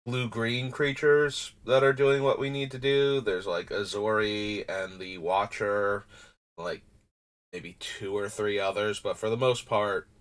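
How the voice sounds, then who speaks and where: Nasal, lowish man rambling on stream. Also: fairly even intonation, measured pace. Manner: rambling, thinking aloud with fillers. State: runny nose. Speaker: streamer building a card game deck. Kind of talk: casual live-stream talk.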